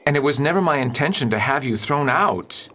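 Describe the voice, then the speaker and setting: A man's voice: mid-range voice, expressive, brisk, a bit bright, slight background noise, lightly acted. A man playing an earnest small-town preacher. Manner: earnest, pleading. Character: earnest, upright male preacher, husband. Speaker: reverend at a mission. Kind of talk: amateur radio drama.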